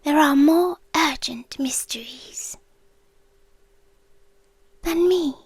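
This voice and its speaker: A British woman playing an ancient, calm, doting apocalyptic girlfriend in a soft, partly whispered ASMR roleplay, speaking slowly and deliberately. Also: high voice, no room sound, full character voice. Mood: soothing, eerily calm. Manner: slow, hushed, deliberate delivery.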